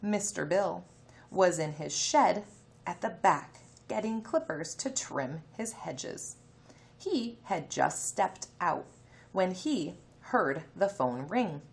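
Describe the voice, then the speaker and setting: A woman's voice: lowish voice, lively intonation, slow pace, vocal fry. A woman teacher giving a reading lesson, explaining instructions to children, sounding out letters and reading a passage aloud. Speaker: elementary teacher.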